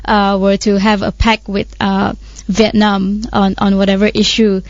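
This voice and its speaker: A woman's voice: medium pitch, completely flat delivery, a little slow, no room sound. A woman co-hosting a radio current affairs discussion, explaining her points with many hesitations and fillers. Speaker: radio show co-host. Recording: dry, slightly muffled studio audio.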